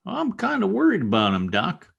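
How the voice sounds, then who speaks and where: An actor playing a simple, fretful, loyal frontier sidekick in an old-time Western radio play, talking in a folksy, rustic way. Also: male voice, sits high, wildly swooping pitch, moderate pace, creaky, fairly bright tone, husky, lo-fi sound, exaggerated voice. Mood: worried, folksy. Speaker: actor in an amateur radio drama.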